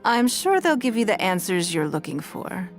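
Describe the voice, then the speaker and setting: A woman's voice: mid-range voice, big pitch swings, moderate pace, lightly acted. Woman voicing a rich shrewd game lady, composed and formal, music behind her. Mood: smooth, amused. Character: poised, shrewd wealthy woman running an auction. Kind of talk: video game voice acting.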